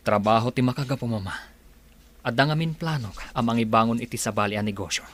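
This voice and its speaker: A man's voice: medium pitch, fast, lightly acted. A man playing a businesslike adult son, confident and reassuring, in an Ilocano Filipino radio drama.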